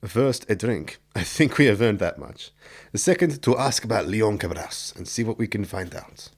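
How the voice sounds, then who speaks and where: An actor playing a gallant, confident gang leader who speaks formally and courteously in a radio drama. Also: male voice, on the low side, lively intonation, moderate pace, breathy edge.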